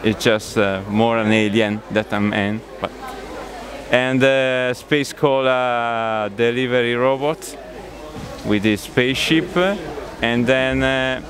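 A man in his forties with an Italian accent, explaining a display casually in halting, hesitant English into a handheld microphone. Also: medium pitch, steady tone, a crowd in the background. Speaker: exhibitor, hobby model builder. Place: large busy exhibition sports hall. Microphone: handheld interview microphone.

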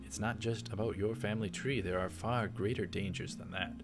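A man voicing a composed, logical eighteen-year-old woman genius from a visual novel, in a measured, emphatic, scholarly manner. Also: on the low side, steady tone, lightly acted. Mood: firm, logical. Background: game music.

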